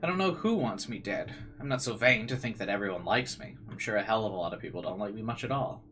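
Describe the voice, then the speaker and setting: A man's voice: fairly low pitch, animated, fast talker, a bit bright. A man playing a visual novel, reading its narration aloud dramatically and adding casual commentary. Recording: game music behind the voice.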